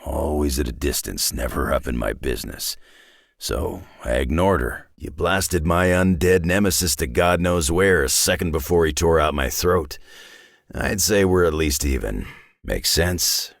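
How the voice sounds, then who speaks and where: A man voicing a gruff, surly middle-aged superhero in a video game, raspy and sardonic with clipped folksy tough talk in a North American accent. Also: rumbling low, unhurried, dry recording, studio quality, heavily acted. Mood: dry, gruff. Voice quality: gravelly, raspy. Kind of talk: video game character voice lines.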